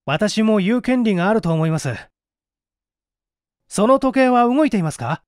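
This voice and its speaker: A man's voice: high voice, expressive, normal speed, clear, resonant tone, dry recording, clean studio sound, pitched above the performer's own voice. A man playing a cool, politely needling young man in a mystery story reading, articulate and pointed.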